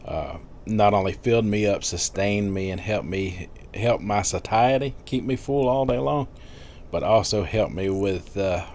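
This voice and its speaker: Chatty man in his fifties, low voice. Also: measured pace, slight background noise. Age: 50s. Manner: chatty, rambling, with frequent fillers and soft laughs. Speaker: vlogger. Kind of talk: casual diet vlog.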